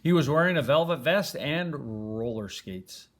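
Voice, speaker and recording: An older man reading a children's storybook aloud in an expressive, grandfatherly way, stressing and stretching words. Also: a little high, unhurried.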